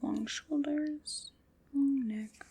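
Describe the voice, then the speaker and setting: A woman whispering as a calm, polite armor fitter who is taking careful measurements in an ASMR roleplay. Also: high-pitched, full character voice. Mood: gentle, attentive. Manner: soft whispering, slow and attentive.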